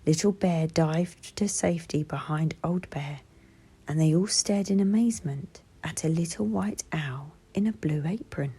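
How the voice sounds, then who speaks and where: Female storybook reader, low-pitched and very breathy, gentle bedtime read-aloud, music underneath. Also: unhurried. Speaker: children's book reader. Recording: music behind the voice.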